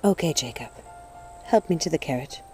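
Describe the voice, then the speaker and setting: A woman's voice: low-pitched, expressive, moderate pace, mellow, soft background music. A woman voicing a desperate, grieving young witch in a storybook, pleading with emotion. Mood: sad, tender.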